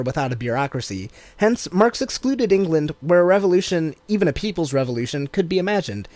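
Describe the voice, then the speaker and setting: A man reading a political text aloud as an audiobook, in a measured, deliberate cadence. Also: on the high side, darkish tone, no echo. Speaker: audiobook reader.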